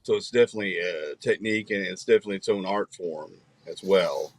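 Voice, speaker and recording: A man co-hosting a podcast, asking a guest questions with halting "uh" restarts. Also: low voice, dry recording. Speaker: podcast co-host. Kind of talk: casual interview chat.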